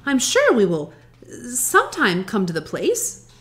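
An earnest young girl acted by a woman narrator in a children's storybook. Her voice is raised and lively, with an innocent, questioning manner. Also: on the high side, a little slow, exaggerated voice. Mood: earnest, unsure.

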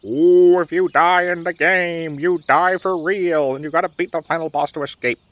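A man's voice: a little high, steady tone, moderate pace, lo-fi sound, pitched above the performer's own voice, close to an everyday voice. A man putting on a mocking, theatrical impression of an ominous, taunting evil mastermind in an audio roleplay. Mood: mock menacing.

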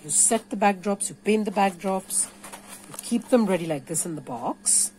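A woman's voice: mid-range voice, expressive, moderate pace, mellow, slight background noise, poor audio. An Indian-accented woman giving an informal, playful and encouraging step-by-step craft tutorial. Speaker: puppeteer teaching a craft workshop. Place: indoors at a craft table.

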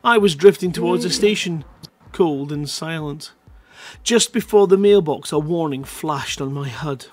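A man's voice: on the high side, a little slow. A man in his thirties casually hosting a live story-reading show, speaking into a headset. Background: faint music.

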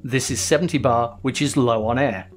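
A middle-aged man hosting a diving lesson, giving calm, scripted voice-over instructions and acting out signals as quoted lines. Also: medium pitch, normal speed, faint music behind. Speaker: host.

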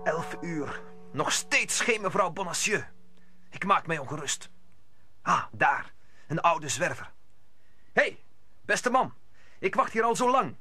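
An actor playing an ardent, earnest young musketeer in a radio play. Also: male voice, on the high side, sing-song, a little slow, long pauses, sharp tone, husky, exaggerated voice. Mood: anxious.

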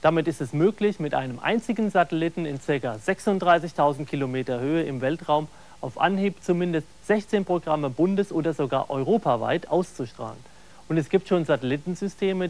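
A man's voice: on the high side, animated, a little fast, a little mellow. A man reading a scripted, factual documentary voice-over. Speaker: voice-over narrator.

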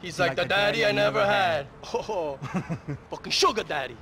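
An actor playing a bitter, envious young street dealer in a video game cutscene, sarcastic and profane with streetwise slang. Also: male voice, high voice, moderate pace, bright, forceful tone, a little hiss or hum. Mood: mocking.

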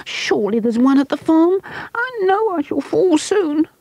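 Young boy, terrified and whimpering, read by a woman, high and pleading, for a storybook reading. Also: lively intonation, unhurried, a little mellow, dry recording, dull audio. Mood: frightened pleading. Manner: pleading, panicky, close to tears. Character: frightened young boy stuck up a tree.

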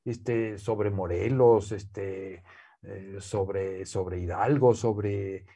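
Older Mexican man, seated interview, slow, warm, filler-heavy. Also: fairly low pitch, steady tone, gravelly, breathy edge. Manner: slow, many "este" fillers and restarts. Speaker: guest, poet's nephew and scholar. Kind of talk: relaxed conversational interview. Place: living room with bookshelves, seated.

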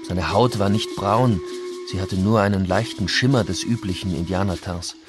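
A man voicing a measured historical chronicler in a dramatised reading of an old account. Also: sits low, lightly acted. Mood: measured, descriptive. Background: music.

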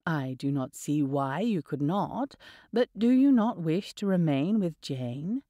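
A woman voicing a sensible middle-aged aunt in an audiobook, calm, measured and subdued. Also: low voice, no echo, clean studio sound. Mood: calm, sympathetic.

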